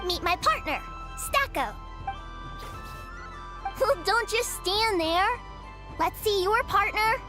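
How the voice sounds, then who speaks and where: A woman voicing a cocky, excitable little girl in a video game, with brash announcer-style delivery over music. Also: extremely high pitch, takes it slowly, pauses often, full character voice. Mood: cocky, excited. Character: excitable little girl robot battler in moon goddess costume, cocky. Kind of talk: animated game voice acting. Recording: music behind the voice.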